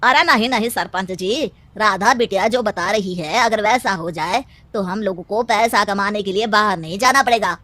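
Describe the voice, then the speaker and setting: A woman's voice: mid-range voice, normal speed, few pauses, no room sound. A woman voicing a simple, anxious village man in a cartoon story, speaking emphatically. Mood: supportive. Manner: loud, emphatic.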